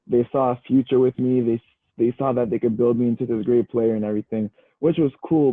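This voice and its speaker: A man's voice: medium pitch, dry recording. Young man on a tinny, dry call. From Canada. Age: young adult. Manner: relaxed, easygoing, says "bro" and "you know" a lot. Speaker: guest, university football player. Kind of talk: casual podcast chat. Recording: tinny phone-like line, dry.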